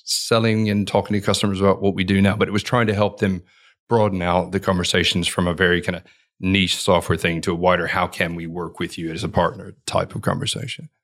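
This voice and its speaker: A man's voice: sits low, flat delivery, a little fast, fairly bright tone. A man being interviewed about his consulting career, answering in a reflective, rambling way with many restarts. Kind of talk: conversational podcast interview.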